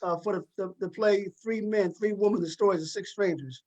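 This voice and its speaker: A man's voice: high-pitched, fairly even intonation, a little fast, darkish tone, lo-fi sound. A man hosting a talk show, interviewing guests in a casual, informal way and often repeating his phrases.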